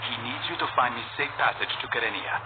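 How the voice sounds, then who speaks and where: A man's voice: medium pitch, fast, natural voice. A man playing a cool, determined assassin in a video game, speaking in a terse, controlled, dry way. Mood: curious, businesslike. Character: cool, determined, dryly taunting young male assassin. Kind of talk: video game dialogue acting. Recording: tinny narrow-band audio with noise.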